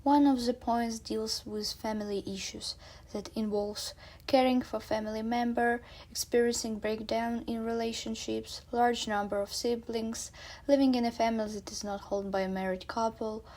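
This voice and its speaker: A woman's voice: on the high side, darkish tone. A young woman from Ukraine, a student presenting at a seminar, reading lists of cited points and spelling out terms.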